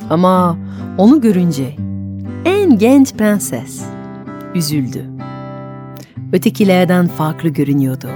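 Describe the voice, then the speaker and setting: A woman storyteller narrating a folk tale expressively for adult radio listeners, with dramatic, deliberate phrasing and pauses. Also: fairly low pitch, mellow.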